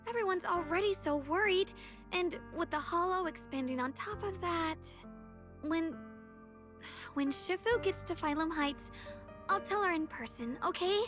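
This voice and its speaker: A woman voicing a gentle, apologetic young girl in an anime video game, speaking softly and haltingly. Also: very high voice, expressive, faint music behind. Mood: pleading. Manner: hesitant, halting, earnest. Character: gentle, apologetic girl hiding a worsening secret.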